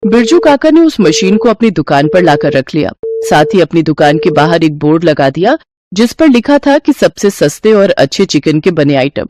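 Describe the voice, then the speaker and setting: A woman's voice: lowish voice, animated, moderate pace, warm tone, slight background noise. A woman narrating a scripted story as the storyteller.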